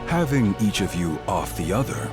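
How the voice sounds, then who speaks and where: An actor playing a cunning, smug warrior commander in a video game, speaking in a suave, sardonic, measured way. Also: male voice, on the low side, big pitch swings. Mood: smug, calculating. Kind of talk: dramatic video game voice acting. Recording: game music under the voice.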